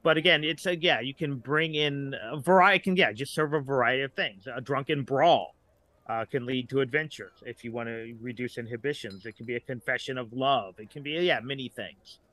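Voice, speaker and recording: A middle-aged man talking casually as a podcast guest, a writer who haltingly searches for examples as he goes. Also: mid-range voice, sharp tone.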